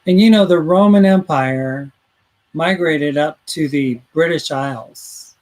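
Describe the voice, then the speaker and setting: Rambling radio host guy, voice on the high side, reads out the chat. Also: slow pace, darkish tone, low-quality recording. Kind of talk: casual talk radio chat.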